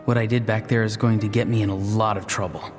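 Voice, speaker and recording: A man playing an earnest, determined young spellcaster in a video game, speaking deliberately. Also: fairly low pitch, flat delivery, natural voice. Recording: long reverb, clear music behind.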